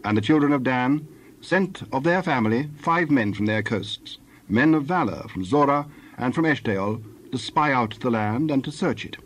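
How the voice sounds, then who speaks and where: Husky male Bible narrator. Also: mid-range voice, lively intonation, normal speed, fairly bright tone.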